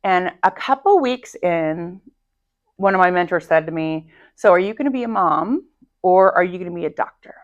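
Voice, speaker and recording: A woman in her thirties, a high school Spanish teacher, telling stories in a casual interview with a loud, energetic voice. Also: normal speed, muffled recording.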